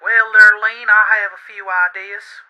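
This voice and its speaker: A man playing a smug, scheming brother in a 1950s radio drama, self-satisfied and unhurried. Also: sits high, little pitch movement. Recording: lo-fi old radio tape, music behind.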